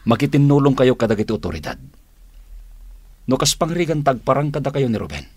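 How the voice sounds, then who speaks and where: An actor with a Filipino accent playing a commanding police chief in a radio drama, giving clipped, authoritative orders. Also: male voice, medium pitch, big pitch swings, a little fast, husky, no room sound. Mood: firm, official. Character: commanding male police chief leading a manhunt.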